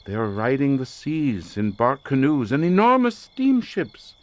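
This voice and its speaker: An actor playing an obsessed researcher lost in a drugged visionary trance, speaking theatrically and haltingly in an audio horror drama. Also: male voice, mid-range voice, wildly swooping pitch. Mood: ecstatic wonder. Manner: theatrical, incantatory, halting with repeated words.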